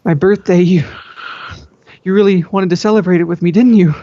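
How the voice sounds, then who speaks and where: A man's voice: high voice, steady tone, a little fast, warm tone, voice pushed up. A man voicing a bewildered, lovesick young woman in an amateur story reading, pleading and melodramatic. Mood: heated pleading. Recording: dull, dry lo-fi home recording.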